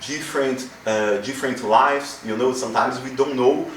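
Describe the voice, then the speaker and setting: A man's voice: mid-range voice, normal speed, a bit bright, slight background noise. A man from Brazil with a Brazilian accent, a journalist guest talking reflectively in a casual interview, often saying "you know". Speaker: guest, journalist and life model. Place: room in a home. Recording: roomy, mic at a distance.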